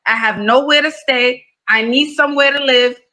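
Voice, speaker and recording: A woman hosting a live dating show, with a bright, forceful voice pitched a little high. She questions her caller pointedly and keeps pressing for details. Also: steady tone, moderate pace. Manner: probing, asks pointed questions.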